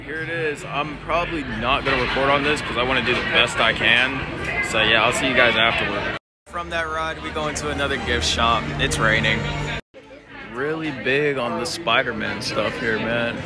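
Raspy, tired young guy vlogging. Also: medium pitch. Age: young adult. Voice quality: raspy, worn-out voice. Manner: chatty, rambling, laughs often. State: exhausted. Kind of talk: casual vlog walkthrough. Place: outdoor theme park and shopping area at night, rainy. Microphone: phone held at arm's length.

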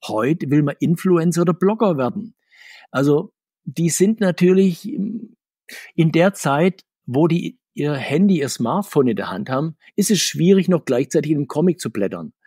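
A man talking conversationally as an interview guest, talkative and often correcting himself, with a husky voice. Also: a little high, expressive, normal speed, warm tone, no echo. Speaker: guest, comics author.

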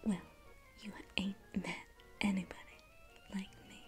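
A young woman playing a sweetly menacing female circus clown in an ASMR roleplay, coaxing and teasing in a breathy whisper. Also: mid-range voice, little pitch movement, unhurried, natural voice. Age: young adult. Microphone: close ASMR microphone. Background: music.

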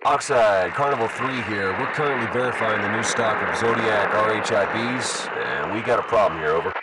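Brisk field soldier over very noisy radio. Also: male voice, on the low side. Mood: businesslike. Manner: clipped, procedural. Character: brisk, no-nonsense male field soldier in a shooter game. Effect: radio filter. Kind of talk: game voice acting, military radio chatter. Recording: very noisy radio, distant from mic.

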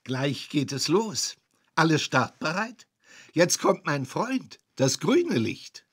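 An actor voicing a friendly talking traffic light in a children's game, with a husky, creaky voice. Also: male voice, on the high side, very animated, takes it slowly, a bit bright, exaggerated voice. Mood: announcing.

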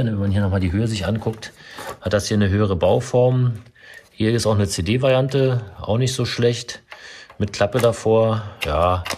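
Workshop host, man, low voice, relaxed how-to talk with a faint hiss behind it. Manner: casual, explanatory, with frequent fillers. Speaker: car mechanic and video host. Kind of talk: hands-on workshop walkthrough. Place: indoor car workshop beside a stripped car.

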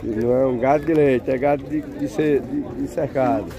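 A man's voice: mid-range voice, fairly even intonation, moderate pace. A man with a Northeastern Brazilian accent, chatting casually as he tours a cattle fair and asks sellers for prices. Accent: Brazilian Northeastern Portuguese. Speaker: vlogger touring a cattle fair. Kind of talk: casual on-the-spot commentary. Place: outdoor cattle fair, crowded pens. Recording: outdoor fair with crowd chatter. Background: crowd chatter and livestock.

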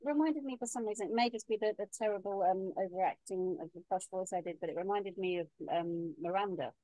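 A woman giving halting, friendly feedback on a video call, with many ums and uhs. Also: mid-range voice, warm tone, poor audio. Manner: halting, filler-heavy, friendly. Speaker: script reading panelist. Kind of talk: casual video-call discussion.

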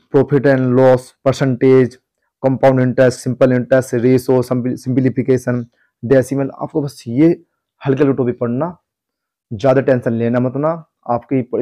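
A man's voice: medium pitch, mellow. A man with a North Indian accent giving an informal exam guidance lesson as a coaching teacher, instructing students directly and repeating his advice. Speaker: exam coaching teacher, former police employee.

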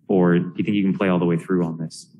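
A man's voice: deep voice, level tone, fast, dark tone, a little hiss or hum. A male sports reporter asking long, winding questions at a press conference.

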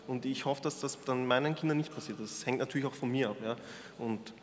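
A man's voice: medium pitch, a little fast. Earnest young man from Austria, panel guest, table mic, hall echo with faint hiss. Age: young adult. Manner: earnest, frank, hesitant with fillers. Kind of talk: panel discussion. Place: wood-panelled hall, indoors. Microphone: table microphone. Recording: reverberant hall with faint noise.

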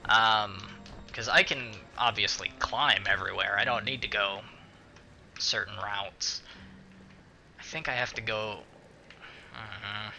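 Bright, forceful man doing game commentary. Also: fairly low pitch, creaky, a little hiss or hum. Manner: muttering to himself, mild swearing. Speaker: gaming video host. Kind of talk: casual let's-play commentary.

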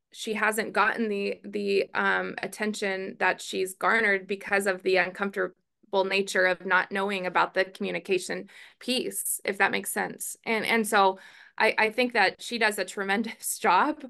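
Gravelly, bright-voiced woman coach at press. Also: medium pitch, little pitch movement, unhurried. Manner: thoughtful, many restarts and fillers, hands moving as she talks. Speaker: college softball head coach. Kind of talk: press conference answers. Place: indoor press room in front of a logo backdrop. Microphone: video call webcam.

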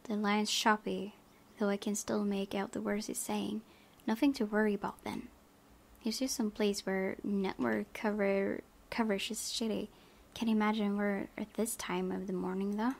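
A young woman reads visual novel narration aloud as a let's play host. Her voice is mid-pitched with noticeable vocal fry. She reads expressively, like telling a story. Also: little pitch movement, moderate pace.

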